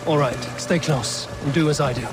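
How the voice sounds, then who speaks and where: An actor playing a stern, steady Viking leader in a video game, terse, dry and commanding. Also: male voice, fairly high pitch, moderate pace, over loud music, natural voice. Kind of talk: video game dramatic acting. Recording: outdoor ambient noise, rain behind.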